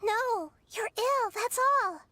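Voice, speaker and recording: A small, gentle girl in a fantasy game with a very high voice. She sounds earnest, and clear music plays behind her. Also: slow, full character voice. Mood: earnest pleading.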